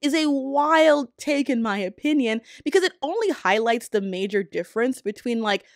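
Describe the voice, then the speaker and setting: A woman's voice: a little high, expressive, moderate pace, no echo, clean studio sound. A woman in her thirties giving informal, emphatic, opinionated commentary as a video essayist. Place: small room at home. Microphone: close desk microphone on arm. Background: background music.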